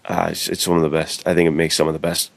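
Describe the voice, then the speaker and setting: A man's voice: low voice, completely flat delivery, fast, creaky, dry recording. A man co-hosting a podcast, chatting casually in a conversational, slangy way. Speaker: podcast co-host.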